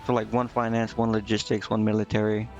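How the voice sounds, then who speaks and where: A male game streamer with a creaky, gravelly voice at an ordinary pitch. He mutters through menus and reads text aloud in a casual, halting way, working things out as he goes. The microphone sounds slightly dull, with music playing behind him. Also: monotone, darkish tone. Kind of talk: casual gaming stream commentary, reading game text aloud and thinking out loud.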